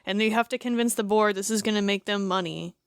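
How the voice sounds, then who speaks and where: Woman podcast co-host, animated and blunt, smooth clear voice, dry close mic. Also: medium pitch, fast talker, no echo. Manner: animated, drops into mocking quoted voices, swears freely. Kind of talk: casual chat.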